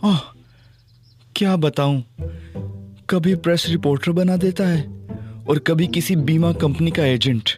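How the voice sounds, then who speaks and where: A man's voice: on the high side, measured pace, long pauses, darkish tone. Playful male narrator over music. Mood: cheeky. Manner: playful, cheeky, mock-innocent. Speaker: narrator and young hero. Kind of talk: first-person audio drama narration and acting.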